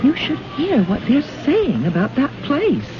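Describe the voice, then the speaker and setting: A woman's voice: high voice, wildly swooping pitch, unhurried, exaggerated voice. An actress playing a proud, defiant young woman diplomat in an old-time radio drama, speaking in a haughty, formal, theatrical way. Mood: anxious. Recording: dull vintage radio recording, music behind.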